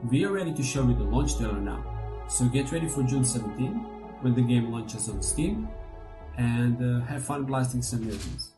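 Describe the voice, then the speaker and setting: A man's voice: mid-range voice, expressive, normal speed, over music. A man, a studio chief giving a scripted presentation. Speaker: game studio CEO.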